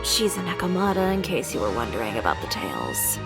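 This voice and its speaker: A woman's voice: rumbling low, huge pitch swings, brisk, exaggerated voice. A woman voicing a composed, businesslike female fashion designer and shop owner in a game, dry and matter-of-fact. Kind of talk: game voice acting. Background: game music.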